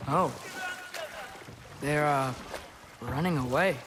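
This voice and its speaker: Eager, higher-voiced teenage boy. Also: slow, background noise. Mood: curious, eager. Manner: youthful, inquisitive, casual. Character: curious, eager teenage boy, earnest and questioning. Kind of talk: video game voice acting.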